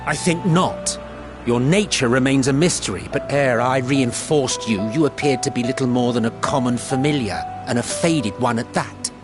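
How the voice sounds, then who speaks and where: An actor playing an inquisitive, proud mage in a fantasy game, using formal, archaic speech. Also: male voice, medium pitch, moderate pace, close to an everyday voice. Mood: dismissive. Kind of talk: scripted fantasy game dialogue. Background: background music.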